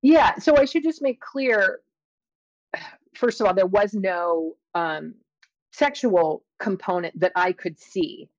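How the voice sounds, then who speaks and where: A middle-aged woman speaking as an interview guest, explaining and telling her experiences in a thoughtful, halting way with drawn-out emphasis. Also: medium pitch, no room sound, lo-fi sound. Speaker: interview guest, scientist and author. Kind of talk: conversational interview, personal storytelling and explanation.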